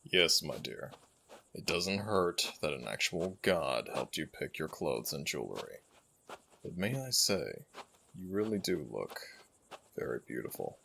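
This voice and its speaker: A man voicing an ancient jackal-headed god in an ASMR roleplay, gravelly and husky, speaking in stately, affectionate, gently teasing phrases to one listener. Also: extremely low pitch, sing-song, unhurried, slight background noise, heavily acted. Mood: fond, formal. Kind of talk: ASMR roleplay audio drama.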